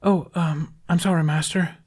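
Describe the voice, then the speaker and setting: A man's voice: fairly high pitch, steady tone, normal speed, a little mellow, husky, voice pushed up. A man voicing a young male apprentice in an audiobook, polite and earnest, with a hesitant, apologetic way of talking. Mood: embarrassed. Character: young apprentice monk, polite, earnest, anxious for his master. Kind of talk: audiobook character dialogue.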